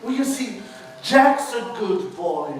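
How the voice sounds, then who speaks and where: A boisterous British pantomime dame, acted by a man, sounds distant in a very echoey stage space. The village woman is middle-aged, motherly and cheeky, with a high voice. She chats in an exaggerated theatrical way, coaxing the audience along. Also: big pitch swings, takes it slowly, exaggerated voice. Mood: mock-pitiful gossip. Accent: British English. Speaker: pantomime performer. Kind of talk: live pantomime monologue with audience address. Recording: roomy echoing stage, distant mic, faint noise.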